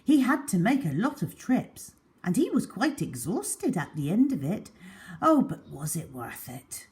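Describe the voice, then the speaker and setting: A woman storyteller reading a children's story aloud in an expressive, deliberate and emphatic way. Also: mid-range voice.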